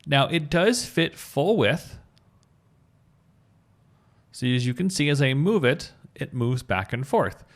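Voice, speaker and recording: A man's voice: mid-range voice, sing-song, slow, pauses often. A man giving an informal screencast tutorial as an instructor, thinking aloud as he talks through each step. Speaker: web coding instructor.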